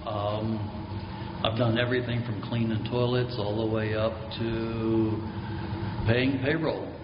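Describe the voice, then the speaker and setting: A middle-aged man speaking plainly and slowly as a candidate giving an opening statement. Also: lowish voice, steady tone. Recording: echoey hall, dull sound, loud hum.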